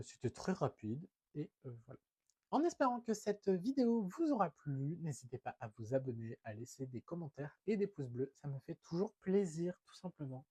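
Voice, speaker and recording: A man's voice: a little high, a little mellow, husky, no room sound. A young man with a head cold, talking through a stuffed nose in a chatty, hesitant book vlog full of fillers. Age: young adult. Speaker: book vlogger. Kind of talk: casual book haul vlog.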